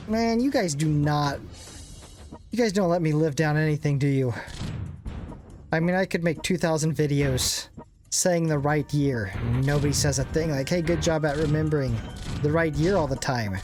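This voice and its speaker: A young man giving casual, rambling commentary on a strategy game, joking and poking fun at himself. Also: a little high. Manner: rambling, joking, self-deprecating asides. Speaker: gaming channel host. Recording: game music playing behind. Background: game music.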